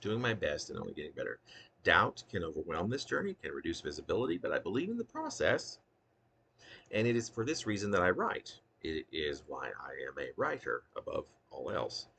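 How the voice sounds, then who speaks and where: Low, gravelly man reading poetry. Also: big pitch swings, fairly quick, pushed and bright, slight background noise. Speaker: poet reading own work. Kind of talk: spoken poetry reading with casual asides.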